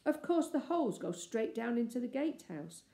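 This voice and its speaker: A brave, bloodthirsty young boy from a storybook, acted out by a woman. He is excited, boastful and bossy, with a raised, mellow voice in an echoey room. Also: fairly high pitch, normal speed, creaky, pitched above the performer's own voice. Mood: exasperated, urgent.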